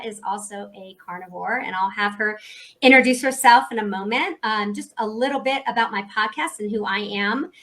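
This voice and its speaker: Bright, upbeat woman podcast host. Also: on the high side. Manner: upbeat, chatty, laughs often. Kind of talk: casual video chat.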